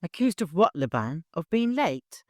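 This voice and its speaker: Shaken young heroine, performed by a male narrator: pitched up, defiant, edging on hysterical, dry audiobook sound. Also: big pitch swings, normal speed, dry recording. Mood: desperate protest. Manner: hysterical edge, protesting. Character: shaken, defiant young woman defending herself.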